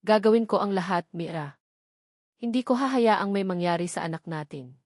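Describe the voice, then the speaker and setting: A woman's voice: medium pitch, moderate pace, a little mellow, no room sound, studio quality, natural voice. A woman voicing a devoted, protective male lawyer and father in a romantic audio drama, speaking earnestly. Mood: determined.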